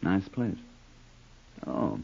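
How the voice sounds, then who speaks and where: Smooth-mannered american hitman, old radio crime show, gravelly, real lively intonation, muffled old recording. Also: deep voice, heavily acted. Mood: calm, politely menacing. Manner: unhurried, musing, dryly polite. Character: cool, cultured hired killer who loves classical music. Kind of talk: 1940s-50s radio crime drama acting.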